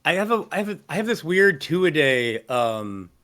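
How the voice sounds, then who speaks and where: A man with a low voice casually telling stories in an interview, rambling with lots of fillers and restarts. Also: big pitch swings, normal speed, pushed and bright. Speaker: singer interviewee. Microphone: video call.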